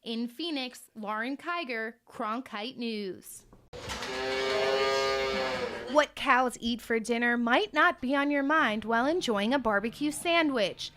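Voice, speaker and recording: Young American female news reporter, high voice, polished emphatic read of scripted stories. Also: slow pace, bright, forceful tone, slight background noise. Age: 20s. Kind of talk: scripted broadcast news narration.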